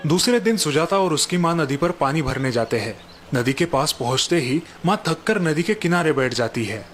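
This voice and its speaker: A man's voice: fairly high pitch, normal speed, faint music behind. A man narrating a village moral story in a scripted storytelling style. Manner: even, explanatory narration.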